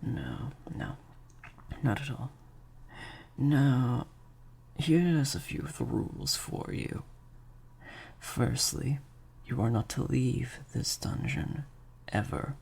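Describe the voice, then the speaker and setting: A man playing a slightly sadistic, controlling vampire master in an intimate improvised audio roleplay, hushed and deliberate, with long pauses. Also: mid-range voice, expressive, a little hiss or hum. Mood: stern, condescending. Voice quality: breathy, creaky half-whisper. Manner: slow, deliberate, hushed delivery with drawn-out pauses.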